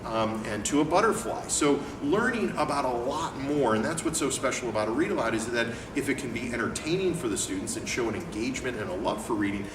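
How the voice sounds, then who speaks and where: A man presenting a picture book read-aloud and talking informally as an educator, with an expressive delivery. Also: medium pitch, fairly quick, hardly any pauses. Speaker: educator and book company owner reading aloud. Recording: echoey room, distant mic, clear background noise.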